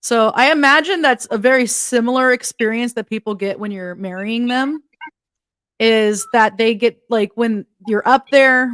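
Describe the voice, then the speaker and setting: A woman's voice: on the high side, fairly bright tone, no room sound. Enthusiastic woman hosting a chat. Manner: enthusiastic, giggly. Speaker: host. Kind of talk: casual interview chat.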